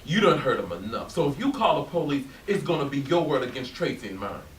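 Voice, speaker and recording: Man as animated, earnest loyal friend. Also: mid-range voice, fast, natural voice. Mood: reproachful. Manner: earnest, admonishing, colloquial. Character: loyal, pleading neighbor and best friend, male, conscientious. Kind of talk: stage play reading. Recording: echoey room, distant, hissy.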